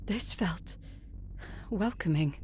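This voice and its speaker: An actress plays a woman conqueror recounting her quest in an audio drama. Her voice sits a little low, with some noise behind it. She narrates in the first person and speaks with the other characters. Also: expressive, slow pace, darkish tone. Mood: measured narration.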